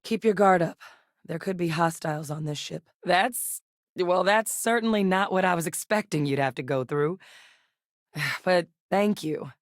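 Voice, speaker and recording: A low-voiced, steady game officer. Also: female voice, dry recording, clean studio sound. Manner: measured, level-headed. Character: steady, earnest woman officer and researcher. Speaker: video game character. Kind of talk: game voice lines. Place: studio booth.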